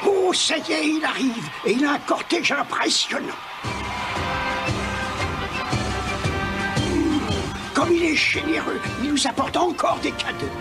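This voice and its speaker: An actor playing an excitable, proud old king and doting father in an animated film, with a pompous, exclaiming delivery. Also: extremely high pitch, moderate pace, loud music behind the voice. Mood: excited. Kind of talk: French animated film dubbing.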